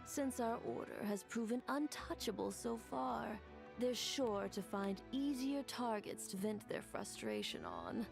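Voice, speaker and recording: An actress playing a composed, protective member of a secretive order in a video game, deliberate and serious. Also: female voice, fairly high pitch, sing-song, gravelly, over music, exaggerated voice. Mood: serious, cautioning. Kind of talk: English video game voice acting.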